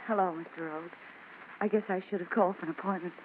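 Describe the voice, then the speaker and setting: A woman's voice: lowish voice, fairly even intonation, quick pace, natural voice. An actress playing a frightened young woman seeking a detective's help, earnest and anxious, in 1940s radio acting style. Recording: tinny old radio recording, faint hiss.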